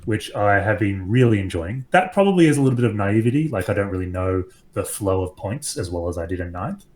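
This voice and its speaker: An Australian man chats as a podcast guest. His voice sits on the low side and lifts when he gets enthusiastic. He talks casually, with loose fillers and the occasional swear. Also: lively intonation, a little fast, darkish tone.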